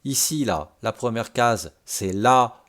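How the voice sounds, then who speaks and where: A man presenting an informal step-by-step software tutorial, explaining things and checking in with short tags. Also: mid-range voice, animated, slow pace. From Brittany, France.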